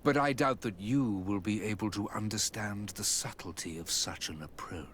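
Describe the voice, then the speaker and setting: A man's voice: lowish voice, normal speed, a bit bright, a little hiss or hum, close to an everyday voice. An actor playing a cold, arrogant male scientist in a game, condescending and theatrical. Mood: smug, condescending. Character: cold, arrogant male doctor defending his cruel experiments.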